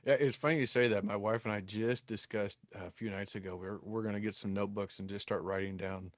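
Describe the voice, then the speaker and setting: A man's voice: lowish voice, fairly even intonation, a little fast, dry recording. A middle-aged man hosting a podcast, talking casually as he interviews a guest. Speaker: podcast host.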